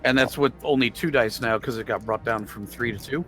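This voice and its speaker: A man's voice: medium pitch, brisk, fairly bright tone, soft background music, rough recording. A man playing a tabletop roleplaying game, chatting casually with wry, grumbling remarks. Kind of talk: casual game chat with swearing.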